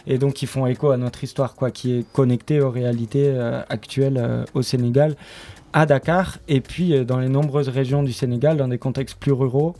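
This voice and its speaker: A man giving an unscripted radio interview as a guest, explaining his production in a hesitant, halting way. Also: mid-range voice, fairly even intonation, warm tone.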